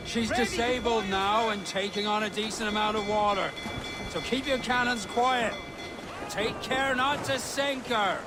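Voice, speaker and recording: A seasoned pirate captain in a video game, pitched high for a man. He instructs in a salty, theatrical and faintly menacing way. Loud sea and ship noise surrounds the voice. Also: fairly even intonation, a little slow. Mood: instructive.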